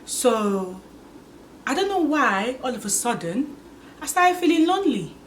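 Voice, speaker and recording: A woman in her twenties talking candidly to her channel, musing aloud and filling pauses with "like" and "you get it?". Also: high-pitched, very animated, slight background noise. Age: 20s. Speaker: vlogger. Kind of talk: casual confessional vlog.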